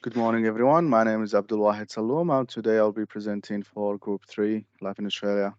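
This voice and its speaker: A man with a lowish voice, from Syria, presents his group's survey to the class in a steady, slightly formal way. The sound is dry and somewhat muffled, as on a video call. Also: a little fast, smooth, dry recording. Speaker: student presenter.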